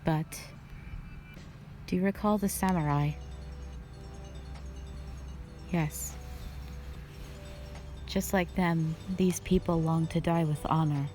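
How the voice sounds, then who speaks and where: Woman voicing a low, slow, stern dragon warrior. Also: steady tone, darkish tone, soft background music. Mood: solemn, explaining. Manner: measured, solemn, formal phrasing. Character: stern, calm, duty-bound female dragon warrior guardian, centuries old. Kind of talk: scripted roleplay audio drama monologue.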